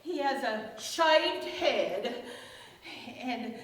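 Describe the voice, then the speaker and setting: A woman's voice: high-pitched, animated, very slow, exaggerated voice. A woman playing a grave, officious asylum superintendent in a staged radio play, formal and emphatic. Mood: ominous. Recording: echoey stage, distant mic, faint noise.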